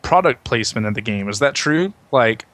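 A man's voice: medium pitch, animated, brisk, a bit bright, no room sound. A man in a casual conversation, speaking as a host on a video game podcast. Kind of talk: casual chat.

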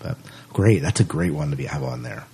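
A man hosting a casual podcast chat, agreeable and quick to affirm his guest. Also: rumbling low, lively intonation, vocal fry, mellow, slightly rough. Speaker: podcast host.

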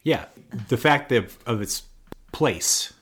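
A man's voice: medium pitch, expressive, slow pace, fairly bright tone, slightly rough. A man co-hosting a casual faith podcast, explaining scripture at length with joking asides. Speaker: podcast co-host.

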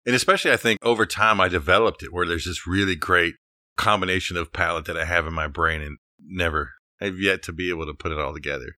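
A man's voice: sits low, fast talker, sharp tone, dry recording, clean studio sound. A middle-aged man co-hosting a podcast, chatting casually and chiming in agreeably. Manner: agreeable, interjecting reactions. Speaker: podcast co-host, architect.

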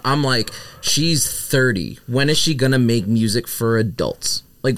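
A man's voice: mid-range voice, fairly bright tone, no echo. A man co-hosting a podcast, sharing opinions in casual chat with lots of "like" and "I mean". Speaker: podcast co-host.

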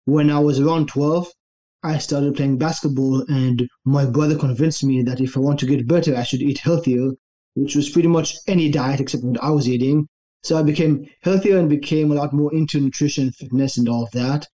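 A young adult man from Israel, a fitness coach telling his story in a relaxed, easygoing interview. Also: a little high, brisk, highs cut off. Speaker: guest, online fitness coach.

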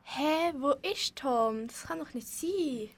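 A woman's voice: high voice, expressive, slow, full character voice. A young female performer playing an alarmed girl searching for her lost friend in an amateur radio play, with a Swiss German accent. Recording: noisy, clicky, distant school recording.

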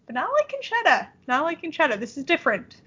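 A woman's voice: high voice, wildly swooping pitch, quick pace, sharp tone. A woman with a nasal Midwestern accent, co-hosting a podcast and chatting casually with wry, dry asides. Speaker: podcast co-host. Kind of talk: casual chat recapping a TV episode. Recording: dull lo-fi podcast audio.

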